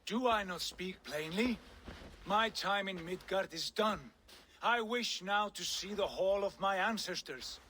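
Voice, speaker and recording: An actor playing a proud Viking warrior in a game cutscene, speaking in solemn, grandiose declarations. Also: male voice, sits high, measured pace, bright, forceful tone, a little hiss or hum.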